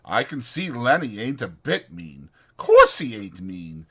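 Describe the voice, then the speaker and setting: A man voicing an exasperated but protective ranch hand in a dramatized audiobook, blunt and scolding, with a rough folksy drawl. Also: mid-range voice, big pitch swings, unhurried, sharp tone, heavily acted. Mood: exasperated, wary. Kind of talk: dramatized audiobook character acting.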